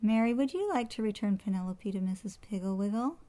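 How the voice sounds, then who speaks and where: A woman reads as a mother in a children's story. She addresses her young daughter politely but firmly, in a medium-pitched voice. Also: a little fast, warm tone, close to an everyday voice. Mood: calm, firm.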